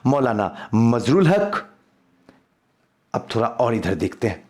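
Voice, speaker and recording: A man's voice: mid-range voice, big pitch swings, slow, a little mellow. A man teaching an online quiz lesson as an exam coach, emphatic and repeating key points. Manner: emphatic, repeats questions and answers.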